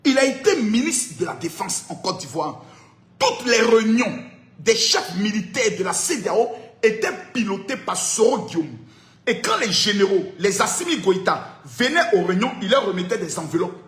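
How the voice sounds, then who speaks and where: A man giving an animated political talk, sing-song and laughing as he speaks. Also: high-pitched, normal speed, echoey room.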